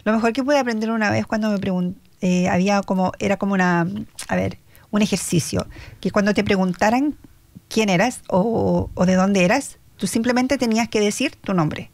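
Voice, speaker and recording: A woman's voice: mid-range voice, moderate pace, a little mellow, dry recording. An older woman with a Chilean accent hosting a chatty Spanish-language radio talk show, asking questions with lots of fillers. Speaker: radio host. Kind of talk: casual radio interview. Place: radio studio.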